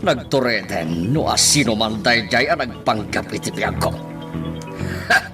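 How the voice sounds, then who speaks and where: An actor playing a domineering, hot-tempered political boss in a radio drama, berating and exclaiming forcefully. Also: male voice, lowish voice, expressive, measured pace. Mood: alarmed, commanding. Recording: loud music behind the voice.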